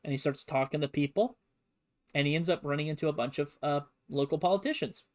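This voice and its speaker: A man's voice: fairly high pitch, fast talker. A man streaming a casual, comedic history lesson, full of sarcastic and playful asides. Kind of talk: casual, comedic history lecture to a livestream audience.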